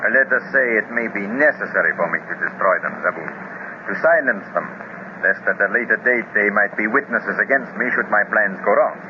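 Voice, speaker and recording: A man's voice: fairly low pitch, close to an everyday voice. A man voicing a pompous, gloating master villain. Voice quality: slow, measured, gloating delivery. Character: scheming male master villain, pompous and menacing. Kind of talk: 1930s radio serial acting. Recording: hissy, dull 1930s radio transcription.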